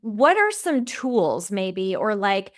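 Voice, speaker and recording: Woman podcast host, conversational, mid-pitched, reflective. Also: big pitch swings, takes it slowly. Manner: reflective, ends points with "right?". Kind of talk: conversational interview.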